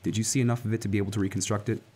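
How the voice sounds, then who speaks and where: A man's voice: on the low side, level tone, very fast, a little mellow, close to an everyday voice. An actor playing a confident, kindly heroic man in an old-time radio serial. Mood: earnest, resolute.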